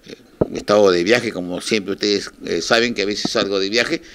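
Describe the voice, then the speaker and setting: An older man with a Peruvian Spanish accent speaks haltingly and informally in an interview, addressing his listeners. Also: fairly low pitch, steady tone, fairly bright tone, a little hiss or hum. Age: 60s or older. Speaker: radio station manager, interviewee. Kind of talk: informal televised statement to listeners. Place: small office, indoors. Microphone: handheld reporters' microphones held close.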